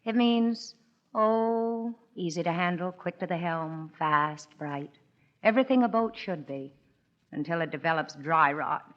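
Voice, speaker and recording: A woman's voice: lowish voice, measured pace, close to an everyday voice. An actress playing a spirited rich young society woman in a 1940s radio play, wistful and teasing. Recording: dull old radio broadcast.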